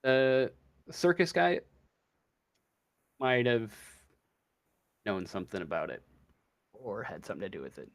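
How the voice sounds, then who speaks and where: A man narrating a tabletop game as game master, halting and searching for words as he improvises. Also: lowish voice, very animated, vocal fry.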